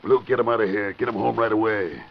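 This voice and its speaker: An actor playing a short-tempered gangster boss who barks orders, in 1940s radio acting. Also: male voice, on the low side, fast talker, creaky. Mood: commanding. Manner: barking, bullying. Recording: dull vintage radio broadcast.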